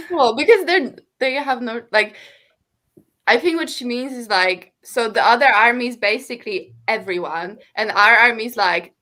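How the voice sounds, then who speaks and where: A woman's voice: on the high side, measured pace, bright, forceful tone. A woman co-hosting a casual book discussion over a video call, rambling with lots of "like" fillers. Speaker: book podcast co-host.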